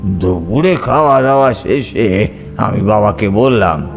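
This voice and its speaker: A man's voice: lowish voice, animated, slow pace, creaky. A man reading a scripted audio story as its narrator. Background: soft background music.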